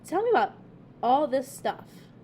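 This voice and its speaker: A woman voicing a hospitable, motherly woman in a storybook read-aloud, welcoming and gently insistent. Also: high-pitched, very animated, unhurried, slight background noise.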